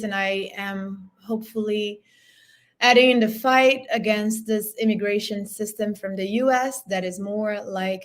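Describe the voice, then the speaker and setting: A woman from Brazil, a guest activist, speaking in a measured, firm way. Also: mid-range voice. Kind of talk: casual podcast interview.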